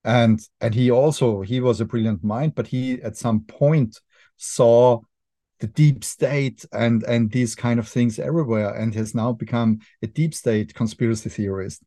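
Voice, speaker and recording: A man's voice: medium pitch, measured pace, dark tone, dry recording, studio quality. A middle-aged man with an Austrian accent, a filmmaker guest on a podcast, explaining thoughtfully with frequent restarts and fillers. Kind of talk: podcast interview.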